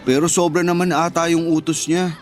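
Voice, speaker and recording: A man's voice: fairly high pitch, little pitch movement, fairly quick, close to an everyday voice. Male actor as young worker and boyfriend, conversational and protesting, radio drama, light music bed. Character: frustrated young male fast-food worker, devoted boyfriend.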